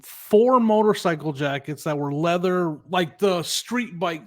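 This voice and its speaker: A man's voice: high voice, measured pace. Upbeat man chatting on a podcast. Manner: upbeat, laughs often, proud of his finds. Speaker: podcast co-host. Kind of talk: casual chat.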